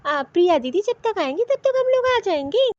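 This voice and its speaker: Scheming cartoon daughter-in-law, very high voice by a woman. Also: sing-song, normal speed, a little mellow, no room sound, dull audio. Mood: coaxing, evasive. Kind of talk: Hindi comedy cartoon story dialogue.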